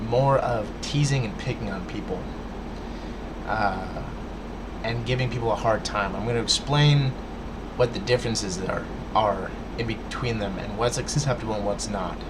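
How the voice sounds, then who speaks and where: A man with a lowish voice speaks straight to his viewers, lecturing earnestly and often stumbling over words with a stutter. A clear steady hum of background noise sits under his voice. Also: a little slow. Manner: stutters, restarts, many fillers. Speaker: vlogger. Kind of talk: casual rant to camera.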